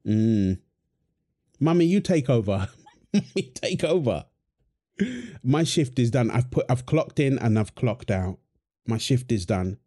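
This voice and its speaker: Unhurried, mellow-voiced man. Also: medium pitch, animated. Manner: playful, teasing, coos fondly to a baby. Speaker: audio roleplay performer voicing a husband and dad. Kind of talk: improvised-sounding wholesome audio roleplay.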